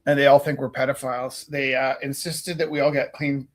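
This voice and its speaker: A man's voice: medium pitch, level tone, a little fast. A man from Canada, a teacher, telling a long story in casual chat, rambling with lots of ums and restarts and the odd swear. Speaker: teacher and stream host.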